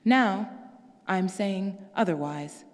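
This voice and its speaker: A proud, guarded young mother as a woman reader puts her on, clipped and quietly defiant in every line. A long reverb trails each word. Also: mid-range voice, slow pace, natural voice.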